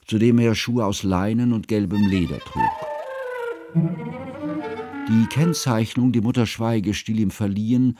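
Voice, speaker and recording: A man acting a scholarly, scrupulous narrator in a radio play. He has a low voice and tells his friend's story in precise, ornate, reflective literary sentences. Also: close to an everyday voice. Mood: wry, precise. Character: learned, scrupulous friend narrating a composer's life.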